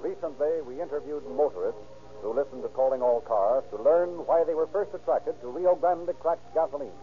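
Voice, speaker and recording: A man announcing and narrating from a script in a formal, measured 1930s radio style. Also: fairly high pitch, soft background music. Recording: dull 1930s radio recording.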